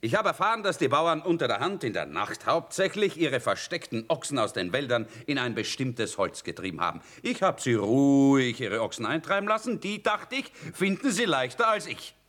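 A bold young soldier with a bright, forceful voice and lively swings of pitch. He swaggers and boasts as he talks, in theatrical radio drama style. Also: moderate pace, hardly any pauses. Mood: boastful. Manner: swaggering storytelling. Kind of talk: theatrical radio play acting.

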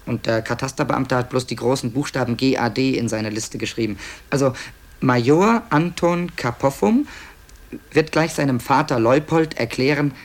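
A man narrating a radio play in a conversational way, musing and hesitating, with wry asides. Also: mid-range voice. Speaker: author-narrator presenting tapes to listeners.

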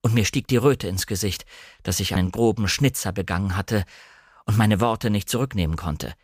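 A man's voice: on the low side, normal speed, husky, dry recording, clean studio sound. A man narrating a novel as a scripted literary audiobook reading.

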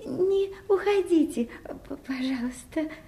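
A very high, girlish voice in a roomy acoustic a gentle young fairy-tale princess. She is artless and unsure of herself, speaking in breathy exclamations with theatrical radio-play innocence. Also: lively intonation, a little slow. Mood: amused. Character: gentle naive young princess, tearful, self-doubting, easily delighted.

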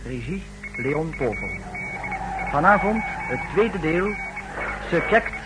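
A man's voice: on the high side, very animated, slow. A man announcing a radio play in a formal 1960s broadcast style. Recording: noisy vintage radio recording with background sound.

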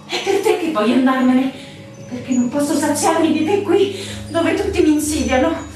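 A woman's voice: high-pitched, normal speed, a little mellow, close to an everyday voice. An actress performs an anguished lover in a radio drama, fearful and devoted. Her plaintive, impassioned voice sits back from the microphone in heavy echo, with music playing behind. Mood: desperate. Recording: echoey, distant, music behind.

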